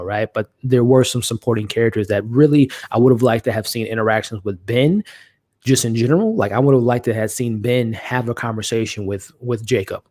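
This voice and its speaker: A man's voice: mid-range voice, a little mellow, no room sound. A man hosting a live watch-along stream, a bit nasally from allergies, talking casually in a rambling way with many restarts. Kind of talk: casual live-stream commentary, reading chat.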